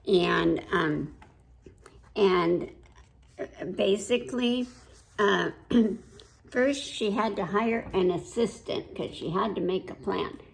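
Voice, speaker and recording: A woman hosting a children's storytime, reading aloud and pausing to put simple questions to young listeners. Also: mid-range voice, creaky, darkish tone, slight background noise, poor audio. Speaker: children's library storytime host.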